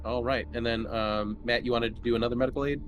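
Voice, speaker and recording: A man's voice: mid-range voice, fairly even intonation, quick pace, dull audio. Man, mid-range voice, tabletop game master, descriptive and conversational delivery, noisy background. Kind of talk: narrating a tabletop horror roleplaying game. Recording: noticeable background noise.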